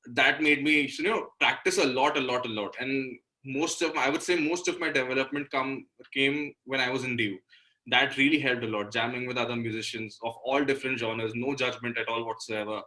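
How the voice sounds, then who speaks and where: Young indian musician guy, bright voice, casual self-deprecating interview chat. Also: medium pitch, low-quality recording. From Delhi, India. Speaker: interview guest, singer-songwriter and guitarist.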